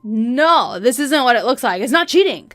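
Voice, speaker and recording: A woman's voice: fairly high pitch, animated, normal speed, a bit bright, dry recording, studio quality, soft background music. A woman voicing a flustered, evasive adult husband with a hesitant, pleading manner in a narrated story. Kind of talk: acted dialogue in a narrated story.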